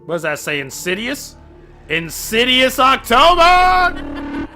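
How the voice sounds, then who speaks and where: A man giving casual reaction commentary in an animated, rambling, exclaiming way. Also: very high voice, slow, faint music behind. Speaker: reaction video host.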